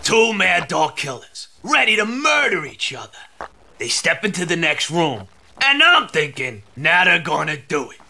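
A sleazy American gangster boasts in a piercing, gravelly voice. He swaggers through his lines with lively swings of pitch, like a game villain showing off. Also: measured pace, heavily acted. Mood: boastful. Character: swaggering, sleazy male mobster hustler. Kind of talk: video game crime drama dialogue.

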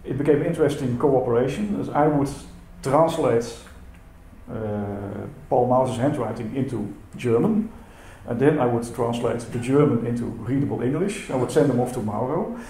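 A man's voice: mid-range voice, mellow. A man whose first language is Dutch, giving a conference talk in a hesitant way, with many fillers and repeated words. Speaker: symposium speaker. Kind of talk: conference presentation. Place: lecture hall. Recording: echoey hall with faint noise.

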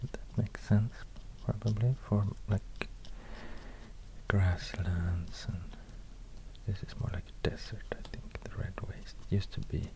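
A man with a Swedish accent speaking in soft ASMR whispers, halting and murmuring as he goes. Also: fairly low pitch, steady tone, creaky, low-quality recording. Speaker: ASMR video host. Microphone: close binaural microphone.

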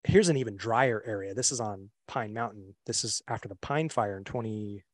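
A young man presenting a webinar on a video call, relaxed and full of fillers and restarts. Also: lowish voice, normal speed, no room sound. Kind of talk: casual slideshow presentation. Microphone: computer mic on a video call.

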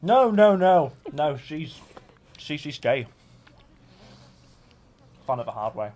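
A man's voice: fairly high pitch, sing-song, slow, a little mellow, a little hiss or hum. A young man in his twenties from North Yorkshire, jokey and a bit awkward, chatting on a date in a cinema. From North Yorkshire, England. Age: 20s. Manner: jokey, laughs a lot, a little awkward. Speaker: dating show contestant. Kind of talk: casual chat. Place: dimly lit cinema auditorium.

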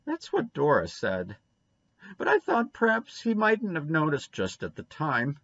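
A desperate little boy from a storybook, acted out by a male reader, blurts and pleads in childish, ungrammatical speech. The pitch swings widely. The sound is dry and a bit dull. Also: wildly swooping pitch, unhurried, creaky, a bit bright, dry recording, full character voice. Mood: miserable, pleading. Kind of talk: storybook character reading.

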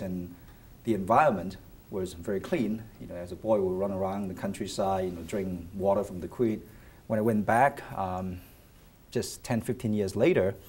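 Low husky guy from china, studio panel, lapel mic, lots of uh and restarts. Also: darkish tone. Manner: thoughtful, many hesitations and restarts. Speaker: finance professor, panel guest. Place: television studio set.

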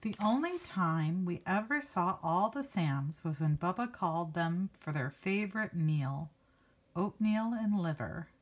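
A woman's voice: lowish voice, expressive, unhurried, a little hiss or hum. A woman reading a picture book aloud to children like a teacher, expressively stretching key words and adding casual asides. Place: indoors, book held before a handheld camera.

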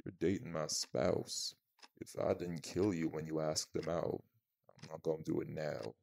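Man acting as a male vampire, very low mellow voice, gruff, blunt, protective, audio roleplay. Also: fairly even intonation, heavily acted. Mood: dry, challenging. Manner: gruff, blunt, slow-burning, informal.

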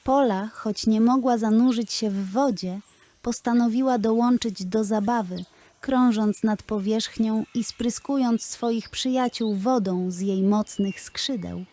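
Woman, children's story narrator, scripted bedtime reading, highish pitch, unhurried slow pace. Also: dark tone.

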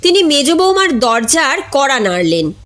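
A woman reading a comic short story aloud in an expressive, dramatic way. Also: high-pitched, moderate pace, a little hiss or hum. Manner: expressive, acts out characters' dialogue.

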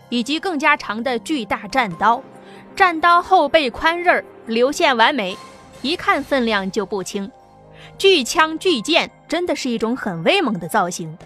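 A woman narrates a science fiction audiobook in a steady, dramatic read. Her voice sits fairly high. Soft music plays quietly behind her. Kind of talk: scripted narration.

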